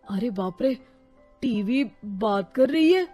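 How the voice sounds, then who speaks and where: A woman voicing an excitable grown man, an older brother and householder, in a cartoon story. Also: fairly high pitch, slow pace, faint music behind. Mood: astonished.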